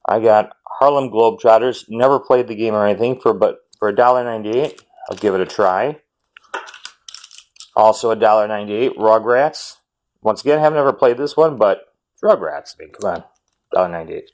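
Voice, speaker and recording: A man, chatting casually and rambling about a game haul with lots of ums and self-corrections; he says his voice runs fairly high. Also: normal speed, a little mellow. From Wisconsin, US. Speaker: retro game collector vlogger.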